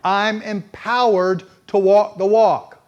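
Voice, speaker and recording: Texan guy preaching, kinda high voice, folksy and chatty, slows down to hit the big points. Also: fairly even intonation, a little mellow, highs cut off. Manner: folksy and conversational, with emphatic slowed phrases. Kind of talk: sermon-style storytelling.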